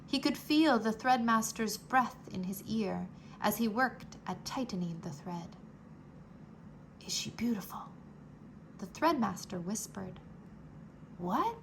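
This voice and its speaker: A woman reading a children's storybook aloud, slow and expressive, dropping to a whisper at quiet moments. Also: medium pitch, creaky, a little hiss or hum. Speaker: storybook reader. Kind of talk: children's read-aloud narration.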